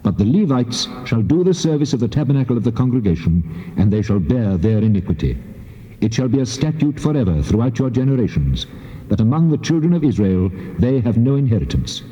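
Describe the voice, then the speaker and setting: An actor playing the God of Israel in dramatised scripture, solemn, measured and commanding, with heavy reverb on his voice. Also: medium pitch, big pitch swings, mellow. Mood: solemn, decreeing. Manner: solemn, measured, authoritative declaiming. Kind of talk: dramatised scripture reading in King James English. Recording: muffled tape, long cavernous reverb.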